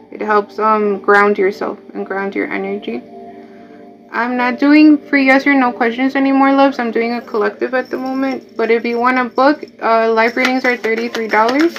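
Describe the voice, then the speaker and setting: A woman from Mexico with a noticeable Mexican Spanish accent, reading tarot cards in a loose, chatty way. Her voice sits a little high, and the audio sounds dull and lo-fi, with soft music underneath. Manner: chatty, repeats words, drifts into asides. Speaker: tarot reader.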